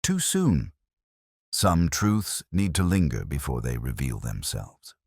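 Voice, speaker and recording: Male actor as melancholy detective, extremely low pitch, dry close sound, literary mystery narration, measured delivery. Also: sing-song, a little mellow, breathy edge, no room sound, exaggerated voice. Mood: grave, reflective. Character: brooding, melancholy male police detective narrating his case. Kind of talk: scripted first-person mystery narration.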